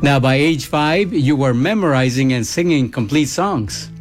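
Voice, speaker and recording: A man narrating a scripted introduction and asking interview questions in a smooth, polished way. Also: medium pitch, lively intonation, a little slow, faint music behind. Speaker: host.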